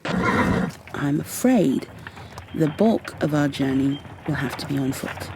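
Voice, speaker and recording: A woman playing a stern high elf warrior in an ASMR roleplay, with formal, commanding, impatient phrasing and a soft, breathy delivery that often drops toward a whisper. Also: lively intonation, slow pace, very noisy. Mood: reassuring. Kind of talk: ASMR roleplay, scripted in-character monologue.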